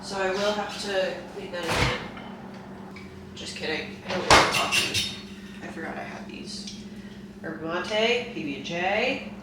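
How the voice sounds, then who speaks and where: A woman's voice: on the low side, unhurried, long pauses. A young woman with a stuffed-up nose chatting casually and rambling to her vlog viewers in a self-deprecating way. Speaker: vlogger. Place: indoors at home, in a kitchen. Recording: echoey room, distant mic, loud noise.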